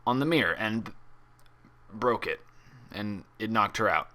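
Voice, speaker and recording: A man's voice: on the low side, expressive, a little slow, sharp tone. A man voicing a cowardly, grumbling stallion orderly in a story reading, whiny and nervous. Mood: nervous, stammering. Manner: muttering complaints, stammering when cornered.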